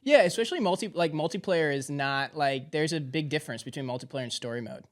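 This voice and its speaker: A man's voice: a little high, lively intonation, fast, very noisy. A man chatting casually as an interview co-host. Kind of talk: casual chat.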